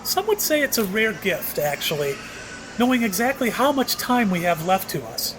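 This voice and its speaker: A mysterious male shopkeeper, played by an actor in an audio drama, with a high, very lively voice. He is courteous and apologetic, hesitating as he offers cryptic remarks, over clear background noise. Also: moderate pace. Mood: apologetic, kindly.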